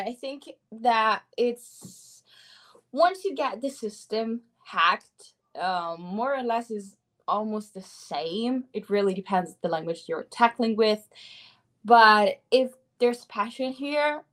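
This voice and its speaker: A woman in her twenties with an Italian accent, a native Italian speaker chatting as an upbeat interview guest. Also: medium pitch. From Italy. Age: 20s. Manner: upbeat, chatty, laughs often. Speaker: guest, language learner. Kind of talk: casual interview chat.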